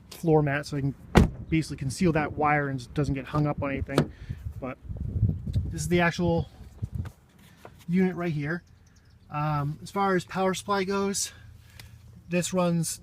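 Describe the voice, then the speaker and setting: A man with a slightly high voice talks through a hands-on walk-around in a casual, unscripted way. He is plainspoken and halting, often pausing mid-phrase. The recording is handheld around a vehicle, with some background noise. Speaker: vlogger showing his own vehicle radio install. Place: in and around his parked vehicle.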